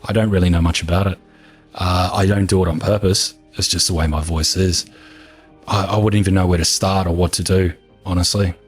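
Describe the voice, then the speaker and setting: Calm low-voiced New man. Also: slightly rough, soft background music. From New Zealand. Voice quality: calm, soothing voice. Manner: relaxed, unhurried, matter-of-fact. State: hoarse from talking for over an hour. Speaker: gaming video creator answering viewer questions. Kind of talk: casual Q&A chat.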